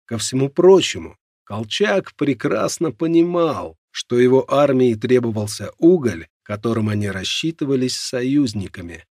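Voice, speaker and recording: A man with a gravelly, husky voice narrating a nonfiction audiobook in a measured, dramatic storytelling way. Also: mid-range voice, animated, a little mellow, no echo, clean studio sound.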